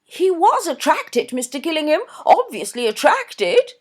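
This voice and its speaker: Casting: gushing plump middle-aged mother, shrill and insistent, read by a woman. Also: sing-song, measured pace. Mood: emphatic. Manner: insistent, fussy, high-pitched exclamations.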